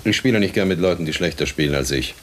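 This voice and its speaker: Deep, surly, curt man. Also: animated, quick pace, a little hiss or hum. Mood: cocky, dismissive. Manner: curt, dismissive. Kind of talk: German radio play acting.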